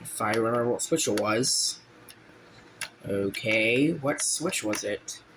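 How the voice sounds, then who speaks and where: A man giving a casual, halting show-and-tell, informal and often trailing off. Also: lowish voice. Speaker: hobbyist video creator. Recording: noisy home video with clicks.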